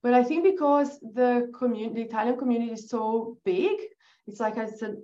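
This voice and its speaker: A woman from Brazil with a Brazilian accent, a guest telling her story in a relaxed podcast interview, laughing easily and restarting her sentences. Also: a little high, normal speed, lo-fi sound. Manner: chatty, laughs often, restarts. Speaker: guest, agency founder.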